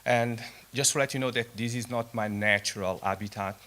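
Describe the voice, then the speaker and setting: A man whose first language is Portuguese, giving an earnest, slightly nervous conference presentation with many halting fillers. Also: mid-range voice, fairly even intonation, bright, forceful tone. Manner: self-deprecating, halting with fillers. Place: conference hall.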